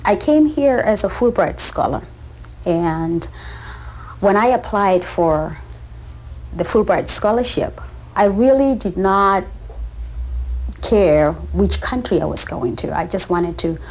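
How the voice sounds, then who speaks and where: A man's voice: high-pitched, expressive, takes it slowly, pauses often, low-quality recording. A man with a South African accent, answering interview questions in a thoughtful, articulate way. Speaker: interviewee, former scholar.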